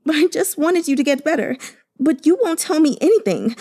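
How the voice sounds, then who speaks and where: A woman's voice: high-pitched, animated, a little fast, mellow, pitched above the performer's own voice. A woman voicing a pampered young man who sobs and stammers as he pleads, breathless and tearful. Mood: sobbing pleading. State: sobbing.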